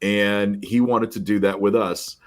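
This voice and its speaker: A middle-aged man co-hosting a mortgage podcast, talking in an energetic, emphatic storytelling way. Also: fairly low pitch, unhurried. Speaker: podcast co-host, mortgage professional. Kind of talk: casual chat.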